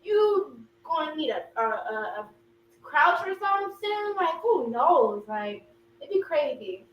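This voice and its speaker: A young woman co-hosting a casual podcast chat, expressive and quick to laugh. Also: high voice, dull audio. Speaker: podcast co-host. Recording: roomy echo, far from mic, faint hum.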